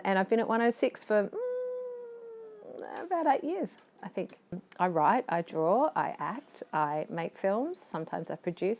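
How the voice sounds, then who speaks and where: A woman filmmaker in a documentary interview, listing her work in an upbeat, lively way. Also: mid-range voice.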